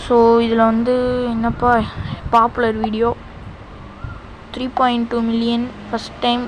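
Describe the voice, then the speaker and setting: A woman's voice: on the high side, completely flat delivery, a little slow. A woman chatting casually to her viewers as a video creator, self-deprecating in manner. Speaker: video creator trying out gamers' intro lines. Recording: clearly noisy, background hum.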